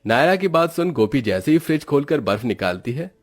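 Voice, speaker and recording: A man's voice: mid-range voice, big pitch swings, fairly quick. A man giving scripted narration as the storyteller of a moral tale.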